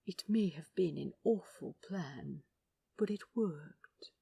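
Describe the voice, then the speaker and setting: A woman voicing a gentle, fussy, fond male angel in a storybook reading, with a soft, breathy, prim delivery. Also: on the low side, lively intonation, slow pace, no room sound, exaggerated voice.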